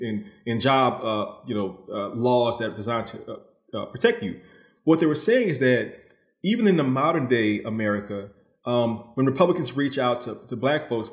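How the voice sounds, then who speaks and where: Man, mid-pitched, echoing roomy recording, conversational, explanatory interview guest. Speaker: novelist guest being interviewed. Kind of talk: thoughtful conversational interview answer.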